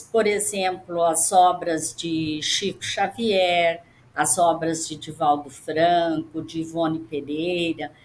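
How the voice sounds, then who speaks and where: Slow soft-spoken lady host asking questions, faint background hiss. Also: fairly low pitch, rough recording. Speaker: interview host. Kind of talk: interview questions.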